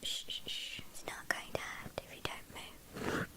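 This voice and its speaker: Woman acting as British vampire kidnapper, female, breathy whispering, unhurried pace, chatty, teasing, haughty, ASMR roleplay. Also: pushed and bright. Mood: soothing, playful. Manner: teasing, mock-apologetic, unhurried.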